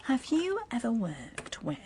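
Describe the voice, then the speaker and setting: An actress playing a cheerful, gossipy make-up artist in a radio drama. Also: female voice, a little high, wildly swooping pitch, unhurried, warm tone. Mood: cheerful, confiding.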